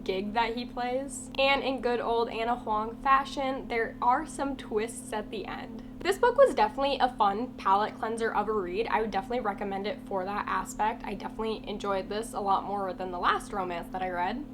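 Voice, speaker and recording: A woman's voice: fairly high pitch, a little fast, hardly any pauses. A woman with a congested, half-lost voice from a cold, giving a chatty, blunt book review vlog with lots of "like" fillers. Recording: steady humming background noise.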